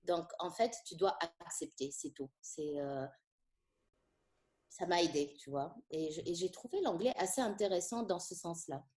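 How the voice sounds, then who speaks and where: Middle-aged woman teacher, Tunisian-born, Arabic native; warm low voice, reflective and hesitant. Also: normal speed. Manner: thoughtful, hesitant with frequent fillers. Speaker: French teacher. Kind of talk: conversational interview.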